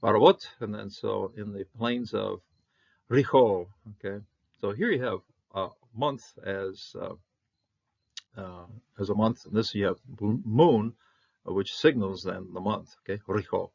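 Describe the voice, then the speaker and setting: A man teaching a Bible Hebrew lesson, talking haltingly with many fillers and restarts, his voice jumping up on each Hebrew word. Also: on the low side, creaky, darkish tone, dull audio. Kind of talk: unscripted verse-by-verse Hebrew lesson.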